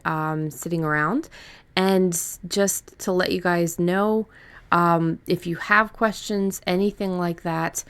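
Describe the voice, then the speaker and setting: A woman hosting a podcast, casually giving listeners information in a conversational style. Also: lowish voice. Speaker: podcast host.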